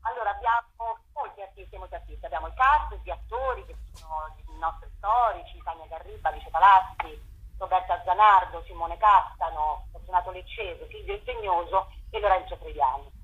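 A woman's voice: fairly low pitch, brisk, slightly rough, narrow, tinny audio. A woman curator explaining a festival program in a radio interview, thinking aloud with frequent fillers.

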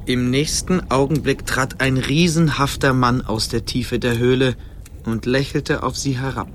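An actor narrating a fantasy radio play as a grave, calm storyteller with measured suspense. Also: male voice, mid-range voice, slight background noise, close to an everyday voice. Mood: measured, ominous.

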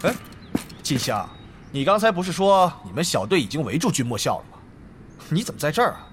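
A scheming online-game guild leader in an audio drama. He is hot-tempered and argumentative, with a slightly high, lively voice over prominent music. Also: fairly quick. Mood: brisk, calculating. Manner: calculating, argumentative. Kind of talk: Chinese audio drama acting.

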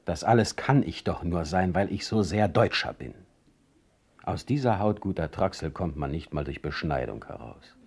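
An actor playing a witty, self-dramatizing German poet in a radio drama, eloquent, theatrical and ironic. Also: male voice, deep voice, lively intonation, normal speed, gravelly, a little mellow, breathy edge, no room sound, exaggerated voice. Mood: defensive, ironic. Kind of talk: radio drama monologue and dialogue.

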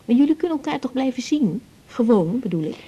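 A mellow, husky young daughter. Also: female voice, on the high side, sing-song, quick pace, lo-fi sound. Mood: coaxing, concerned. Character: young woman doctor, soft, concerned grown daughter. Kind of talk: naturalistic 1980s radio drama acting.

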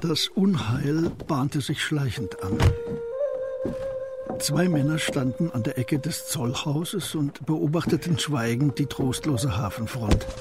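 A man narrating a historical crime radio play in a measured, literary style, as a sober storyteller. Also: mid-range voice, loud music behind the voice, natural voice. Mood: sober, suspenseful.

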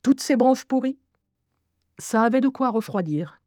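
A woman's voice: a little high, expressive, a little slow, darkish tone, no echo, clean studio sound. An actress playing a wry young woman who hears voices, reading her diary in a radio drama with ironic, confiding asides. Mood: wry reminiscing. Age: young adult. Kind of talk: radio drama first-person monologue.

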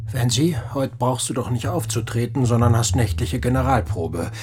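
A man's voice: mid-range voice, normal speed, darkish tone, faint music behind, close to an everyday voice. An actor playing an urbane, wry man-about-town in a radio play, speaking in a polished, literary way. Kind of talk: German radio play acting.

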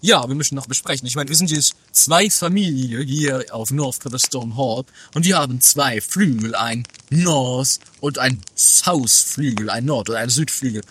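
A man's voice: medium pitch, normal speed, hardly any pauses, a bit bright, slightly rough. A man playing a placid, matter-of-fact husband in an amateur 1980s comedy radio play.

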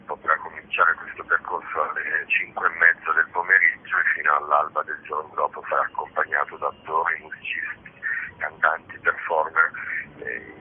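A man's voice: extremely low pitch, flat delivery, moderate pace. A man being interviewed as a festival organiser, explaining things informally and discursively, often correcting himself. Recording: muffled noisy phone line.

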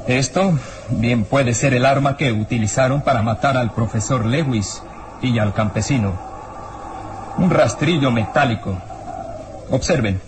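A man's voice: mid-range voice, a little slow, long pauses, background noise, natural voice. A man playing a grave police inspector in an old radio serial, declaring things in an emphatic, dramatic way. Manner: declarative, dramatic, demonstrative delivery.

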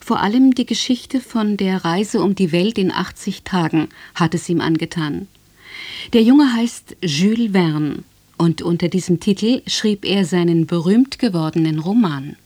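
A woman's voice: on the low side, a little slow, a little mellow, dry recording. Dry, close-sounding woman announcer. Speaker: announcer introducing a radio play. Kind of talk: scripted narration.

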